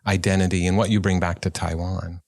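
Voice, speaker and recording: A man's voice: sits low, level tone, brisk, creaky, darkish tone, dry recording, studio quality. A man who grew up in Canada, hosting a podcast and asking easygoing, curious questions. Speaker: podcast host. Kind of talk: casual podcast interview.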